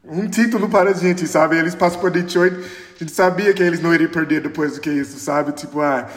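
In an echoey, reverberant room, an American man of forty reminisces as a podcast guest. His voice is fairly high, and as a native English speaker he phrases things haltingly, with non-native grammar. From Chicago, United States. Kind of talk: casual recollection in interview.

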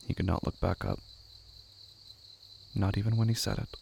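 An actor playing a somber, detached horror storyteller, narrating a literary script in measured, clipped phrases. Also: male voice, lowish voice, fairly even intonation, gravelly, a little mellow. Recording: faint cricket-filled background noise.

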